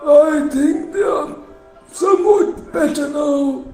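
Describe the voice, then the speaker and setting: A man playing a frail, gentle old grandfather in an amateur radio play, speaking slowly and haltingly. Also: extremely high pitch, full character voice. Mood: wry. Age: older. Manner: slow, halting speech. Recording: roomy echo under music.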